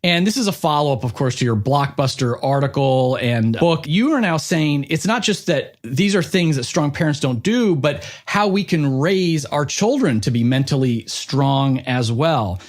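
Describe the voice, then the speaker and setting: A middle-aged man with a fairly high voice hosts an interview. He talks casually and informally, chatting about himself and peppering his sentences with filler phrases. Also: expressive, normal speed, hardly any pauses. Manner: chatty, self-referential, informal with frequent 'like' and 'you know'. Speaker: podcast interviewer, host.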